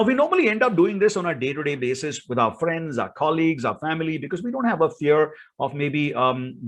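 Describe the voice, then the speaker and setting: A man with an Indian accent giving an instructional talk as a speaking coach, in an emphatic, theatrical way full of rhetorical questions. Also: on the high side.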